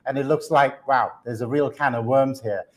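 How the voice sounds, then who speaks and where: A man's voice: medium pitch, normal speed. A man, a guest commentator on markets, speaking earnestly in a live business interview with frequent fillers and restarts. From Hong Kong.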